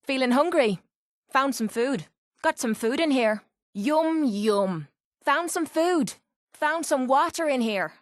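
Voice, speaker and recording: An actress playing a tough, sarcastic young pit fighter in a video game, with an Irish accent and a blunt, salty, wry way of talking. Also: female voice, high-pitched, animated, slow pace, no echo, clean studio sound. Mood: pleased. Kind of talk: video game character voice lines.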